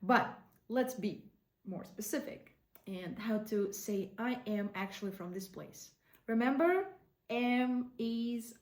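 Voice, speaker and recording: A woman with a Kazakh accent gives an online language lesson at a slow, unhurried pace and repeats each word for practice. Her voice sits a little high. The room adds a noticeable echo. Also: darkish tone. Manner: explains slowly, repeats words for practice. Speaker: language teacher.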